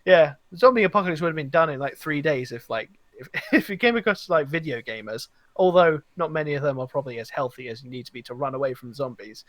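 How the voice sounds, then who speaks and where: A man's voice: fairly high pitch, animated, fast, hardly any pauses, a bit bright, no room sound. A man chatting casually on a gaming podcast, rambling along and acting out reactions as he tells stories. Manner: rambling, acts out quoted reactions. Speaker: video game podcast host. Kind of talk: casual animated podcast chat.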